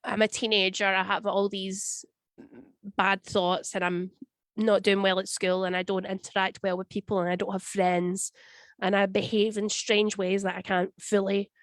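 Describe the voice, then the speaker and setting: Scottish woman, husky voice from a cold, wry, chatty storyteller, dry close sound. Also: mid-range voice, level tone, moderate pace, no echo. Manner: chatty, wry, tells stories with quoted voices. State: husky voice from a cold, sniffling and coughing. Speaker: guest. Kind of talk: casual interview answers. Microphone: video call.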